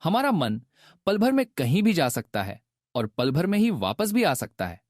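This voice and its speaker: Boastful cartoon hero, animated, echoey. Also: male voice, fairly high pitch, moderate pace. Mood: confident. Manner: boastful, emphatic, declamatory. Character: confident clever village hero, boastful and defiant. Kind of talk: children's cartoon voice acting. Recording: reverberant echoey voice, faint music.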